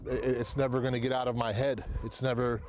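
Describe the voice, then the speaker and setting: A man's voice: mid-range voice, flat delivery, quick pace, noisy background. A middle-aged American man speaking candidly in an interview, in plain words and often repeating phrases. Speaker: interviewee, dog trainer and former police officer.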